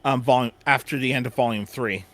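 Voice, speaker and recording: Halting man hosting a casual panel, close dry sound. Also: medium pitch, steady tone, fairly bright tone, no room sound. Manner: halting, repeats and restarts phrases. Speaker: panel host. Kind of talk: casual unscripted fan panel chat.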